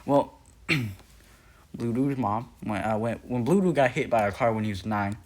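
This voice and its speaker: A man's voice: mid-range voice, measured pace. A young man talking casually in a video, rambling with many fillers, restarts and self-corrections. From Nepal. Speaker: youtuber. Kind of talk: casual lore video narration.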